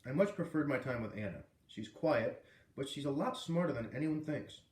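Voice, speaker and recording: A man narrating a children's novel aloud in an expressive storybook style, as a read-aloud reader. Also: mid-range voice, normal speed. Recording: echoey room, distant microphone.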